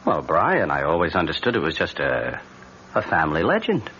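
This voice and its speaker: An actor playing a genial young husband and writer in an old-time radio drama, with an expressive, folksy manner. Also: male voice, sits low, normal speed, heavily acted. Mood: curious, easygoing. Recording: muffled, noisy old radio recording.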